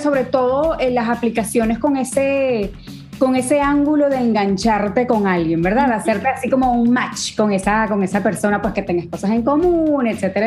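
A woman in her forties from Ecuador, a podcast guest telling stories in a relaxed, chatty way. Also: fairly high pitch. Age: 40s. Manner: warm storytelling with fillers. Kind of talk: casual chat.

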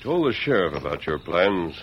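An actor playing a steadfast, resolute cowboy hero in an old-time radio western. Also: male voice, low-pitched, sing-song, normal speed. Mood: defiant. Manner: firm, resolute, commanding. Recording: noisy muffled vintage radio recording.

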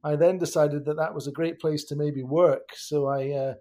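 Scottish man, podcast guest, slightly high pitch, chatty, laughs easily. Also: steady tone, moderate pace, warm tone. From Scotland. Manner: chatty, laughs often, frequent restarts. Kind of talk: casual podcast conversation.

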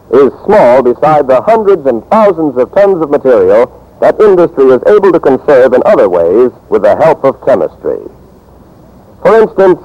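An American man announcing on 1940s radio, reading a script with formal, measured and emphatic enunciation in a dull vintage broadcast recording. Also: on the high side, warm tone.

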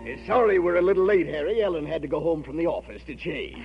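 An actor playing a jovial, confident boss in a 1940s radio comedy, with a raspy, blustery delivery. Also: male voice, on the high side, expressive, fast, over loud music. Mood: hearty. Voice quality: raspy, gravelly. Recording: muffled old radio broadcast.

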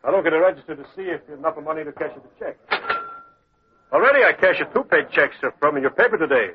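An actor playing a friendly, blundering Italian restaurant owner who speaks obliging broken English in an old radio drama. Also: male voice, a little high, animated, fast, noisy background, lightly acted. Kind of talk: 1940s radio acting. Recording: muffled old radio recording.

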